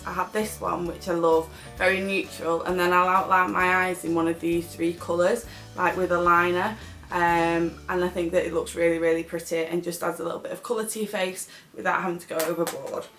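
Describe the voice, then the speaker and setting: A woman's voice: lowish voice, little pitch movement, a little fast, fairly bright tone, faint music behind. A British woman chatting casually as a beauty vlogger reviewing products.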